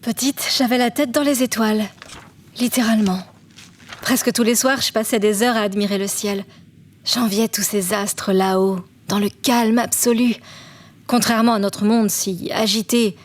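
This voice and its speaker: An actress playing a brainy, teasing young woman student in a French audio drama, playful and expressive in her manner. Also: mid-range voice, normal speed, lightly acted. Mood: wistful. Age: young adult. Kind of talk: French audio fiction acting. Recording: music behind the voice. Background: music.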